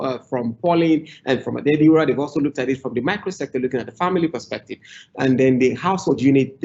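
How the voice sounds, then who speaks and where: A man moderating a webinar panel, thanking speakers warmly and introducing guests formally. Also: medium pitch. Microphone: video call. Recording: dull lo-fi video call audio.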